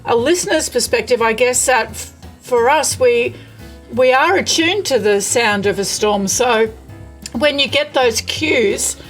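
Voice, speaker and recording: Woman interviewer with a slightly higher voice. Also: measured pace, soft background music. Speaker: interviewer hosting a live online show. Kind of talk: casual live interview chat. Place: live video call.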